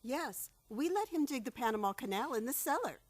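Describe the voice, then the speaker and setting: A woman playing a gentle, hospitable elderly aunt in a stage-style radio play. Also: high-pitched, animated, a little fast. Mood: gently polite.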